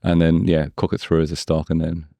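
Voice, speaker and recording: A man's voice: very deep voice, monotone, fairly quick, vocal fry, mellow, dry recording. A man co-hosting a food podcast, talking in an informal, conversational way. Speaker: podcast co-host. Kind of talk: casual food chat.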